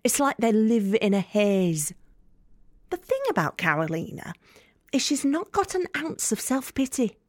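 A woman's voice: fairly high pitch, big pitch swings, unhurried, dry recording, studio quality. An actress playing a tough, guarded working woman from Leeds with a Yorkshire accent, blunt, wry and confiding in an audio drama monologue. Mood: wry, delighted. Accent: Northern English, Yorkshire.

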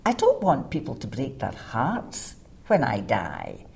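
A woman's voice: very deep voice, wildly swooping pitch, takes it slowly, gravelly, darkish tone. A frank, no-nonsense woman with a Scottish accent, chatting casually in an interview.

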